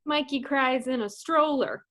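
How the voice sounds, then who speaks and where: A woman's voice: high voice, measured pace, fairly bright tone. A woman reading comedic scripted narration for a radio play.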